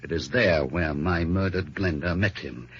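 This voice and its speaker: An actor playing a smooth, defensive middle-aged merchant husband with wounded dignity, speaking in formal, measured radio-drama style with a husky voice. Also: male voice, low voice, fairly bright tone, exaggerated voice. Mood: offended, insistent. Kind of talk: old-time radio detective drama acting. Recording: dull lo-fi vintage radio recording.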